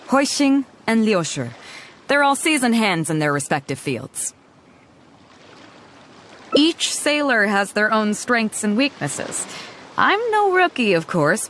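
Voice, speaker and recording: A woman's voice: a little high, fairly bright tone, a little hiss or hum. An actress voicing a bold, confident female pirate captain in a game cutscene, hearty and commanding with a teasing edge. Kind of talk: video game cutscene dialogue.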